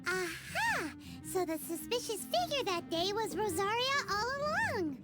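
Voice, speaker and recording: A woman voicing a tiny, cheeky, bubbly fairy girl companion in a video game, squeaky and childlike. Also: big pitch swings, a little slow, loud music behind the voice, exaggerated voice. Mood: surprised.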